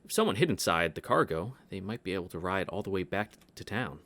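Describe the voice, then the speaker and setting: A man's voice: low voice, animated, fast, fairly bright tone. A man reading a visual novel aloud as a let's-play host, breaking off into casual asides.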